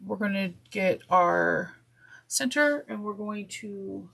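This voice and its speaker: Congested, nasal woman with a gravelly voice. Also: mid-range voice, takes it slowly, a bit bright. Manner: chatty, self-correcting, apologetic. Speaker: sewing instructor. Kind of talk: step-by-step craft tutorial. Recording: noisy with clicks.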